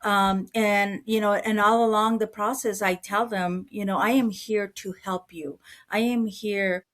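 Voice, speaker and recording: A woman's voice: medium pitch, steady tone, unhurried. A middle-aged woman from Mexico, a real estate broker answering interview questions in an earnest, conversational way, often repeating words as she thinks.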